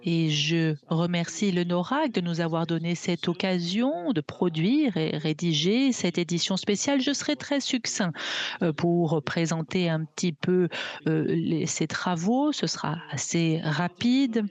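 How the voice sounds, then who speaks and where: A woman's voice: lowish voice, animated, a little slow, darkish tone. A woman doing live simultaneous interpretation of a webinar, hesitant, with frequent fillers and self-corrections.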